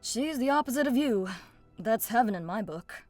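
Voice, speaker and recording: Firm, sardonic, high-voiced young dragoness woman acting. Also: expressive, normal speed, darkish tone, dry recording, soft background music. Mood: firm, impatient. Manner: assertive, dry sarcasm. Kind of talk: audio drama acting.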